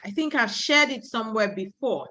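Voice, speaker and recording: Bright-voiced Nigerian woman giving a talk. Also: fairly high pitch, animated, moderate pace, dull audio. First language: Yoruba. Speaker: conference speaker. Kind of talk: presentation talk.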